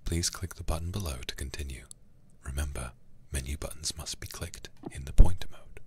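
A man livestreaming a game in an intimate ASMR style, hushed and often whispering close to the microphone. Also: a bass voice, brisk, background noise. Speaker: livestream host playing a video game.

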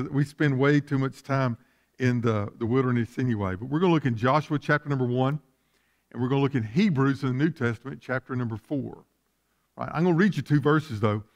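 A man in his fifties, a pastor preaching a sermon in an emphatic, conversational way, repeating phrases for weight. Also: mid-range voice. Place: church sanctuary, at a lectern on the platform.

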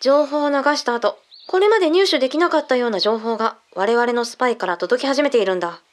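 Audio drama role: composed intelligence briefer played by a woman, high voice, blunt and matter-of-fact. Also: expressive, natural voice. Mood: composed, serious. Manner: measured, matter-of-fact, blunt masculine phrasing. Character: calm intelligence colleague briefing secret findings.

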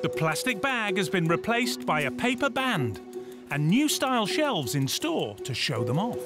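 High-pitched man, animated scripted narration, wry and playful, prominent music bed. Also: a little slow. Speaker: documentary narrator. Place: studio voice-over.

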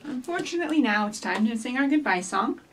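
Middle aged lady doing kids storytime, super up and down voice, simple and animated, mic kinda far away. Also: fairly high pitch, fairly quick, a little hiss or hum. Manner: animated, simple instructions addressed to young children. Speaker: children's librarian hosting storytime. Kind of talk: children's storytime with rhymes and read-aloud. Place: small indoor office or library room with block walls. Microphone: camera a few feet away. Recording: distant camera microphone.

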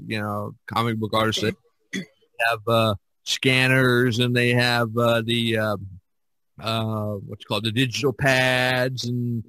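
Older guy rambling on a podcast, opinionated, pretty dry sound, normal pitched voice. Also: pushed and bright, no echo, low-quality recording. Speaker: podcast host. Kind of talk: casual podcast discussion.

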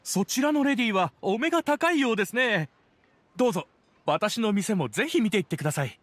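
A man's voice: sits high, expressive, fairly quick, fairly bright tone. A man voicing a polite, eager young toy merchant in a video game, with courteous sales patter. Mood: eager, selling.

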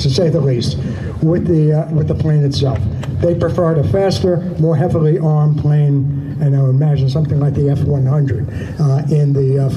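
Slow, halting man in a noisy hangar. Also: fairly high pitch. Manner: slow, halting with many fillers and restarts. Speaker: museum docent giving a hangar talk. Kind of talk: reading prepared notes with asides. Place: aircraft hangar. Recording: noisy hangar with vehicle sounds.

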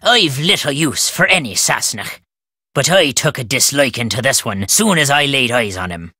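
Crotchety old Irishman, animated delivery. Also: mid-range voice, moderate pace, husky, no room sound, studio quality. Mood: grumbling, opinionated. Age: older. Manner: folksy, ominous, emphatic. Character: crotchety superstitious old Irish caretaker, foreboding storyteller. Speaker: video game character. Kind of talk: theatrical storytelling game dialogue.

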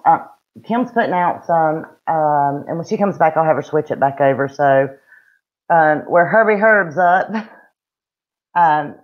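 A middle-aged woman with a Southern US accent, co-hosting a true-crime livestream from home in blunt, folksy casual chat over a webcam. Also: low voice. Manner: chatty and blunt, folksy slang. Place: room at home. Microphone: webcam on a video call. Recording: dull lo-fi video call audio.

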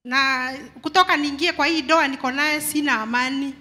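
A middle-aged woman with a Kenyan accent giving personal testimony as a congregant, pausing for an interpreter. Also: high-pitched, fairly even intonation, bright, forceful tone. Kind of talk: testimony in short segments for interpreter. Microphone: handheld mic held to her mouth. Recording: echoey noisy hall.

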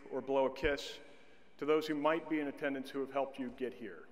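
A middle-aged man speaks from a podium microphone, delivering a commencement address at a medium pitch. He talks in a measured way and slows down to stress key words. A long reverb trails his voice across a large indoor arena. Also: fairly even intonation, slight background noise. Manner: measured, with slow deliberate emphasis.

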